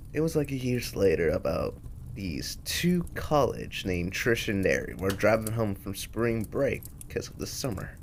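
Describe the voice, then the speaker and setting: A performer voicing a casual, halting young hellhound in a fan comic dub. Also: low-pitched, slight background noise, exaggerated voice.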